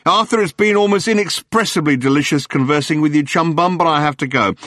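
A man voicing a gushing, fast-talking male tabloid journalist who babbles silly nicknames in a breathless torrent. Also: on the high side, fairly bright tone, no echo, clean studio sound, pitched above the performer's own voice, natural voice. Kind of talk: audiobook character voice.

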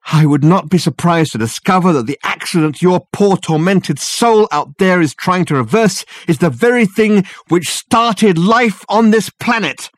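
A man reading a story, voicing an eccentric, excitable detective who declaims emphatically. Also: medium pitch, fairly quick, slightly rough, no echo, pitched above the performer's own voice. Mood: excited.

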